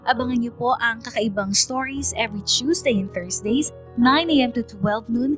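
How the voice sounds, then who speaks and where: A woman's voice: mid-range voice, fairly bright tone, far from the mic. A woman with a Filipino accent delivering scripted narration as a radio DJ and host. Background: music.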